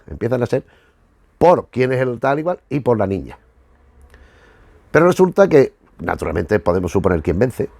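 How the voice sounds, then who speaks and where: Gravelly middle-aged man from Spain, rambling. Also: on the low side, mellow, no echo, clean studio sound. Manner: digressive, hesitant, often repeats and rephrases. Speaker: host of a retro television review. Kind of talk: casual, rambling commentary.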